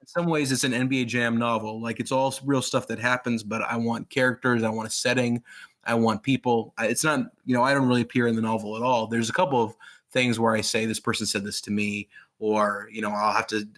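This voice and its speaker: A man's voice: mid-range voice, level tone, brisk, fairly bright tone. A man in his thirties who grew up in Pakistan, a guest author talking casually and enthusiastically in an interview, with many fillers and restarts.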